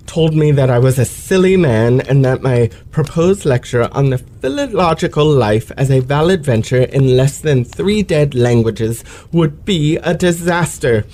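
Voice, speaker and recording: An actor as a pompous, aggrieved gentleman lecturer. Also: fairly high pitch, slight background noise. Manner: florid, theatrical, precise elocution. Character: pompous, aggrieved male lecturer, florid and self-pitying. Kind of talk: old-fashioned radio play acting.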